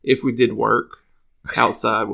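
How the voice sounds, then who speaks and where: Male podcast co-host, creaky mid-pitched voice, plain-spoken casual storyteller. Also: level tone, slow, pushed and bright. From small town in the southern US.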